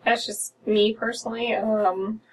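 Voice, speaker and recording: A woman's voice: a little high, level tone, measured pace, distant mic, rough recording. A woman in her thirties giving dry, understated, casual reactions as a podcast co-host. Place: small dim room indoors.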